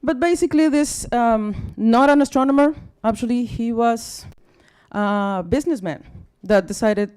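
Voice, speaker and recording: A young woman from Mexico talks to a live audience in accented English, Spanish being her first tongue. Her voice is mellow and warm, and she sounds tired. She rambles, apologizes often and fills gaps with ums. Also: fairly high pitch, unhurried, clear, resonant tone. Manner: chatty and apologetic, with many fillers and restarts. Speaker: guest speaker at a science talk night. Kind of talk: casual, self-deprecating live talk. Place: bar or event room with a live audience.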